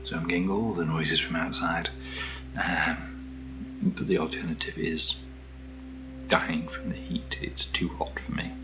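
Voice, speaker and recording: A British man speaking in a soft, breathy near-whisper as he hosts a casual ASMR video, gentle and unhurried, close to the microphone. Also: sits low, little pitch movement, music in the background. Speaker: ASMR video host reviewing camera gear. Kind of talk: soft-spoken ASMR, casual unboxing chat.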